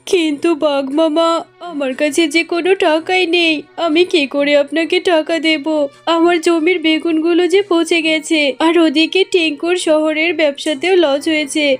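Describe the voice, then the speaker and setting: A woman's voice: very high voice, steady tone, measured pace, faint music behind. A woman voicing a scheming fox sister-in-law in a cartoon, with an emotional, melodramatic delivery. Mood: pleading. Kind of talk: cartoon character acting.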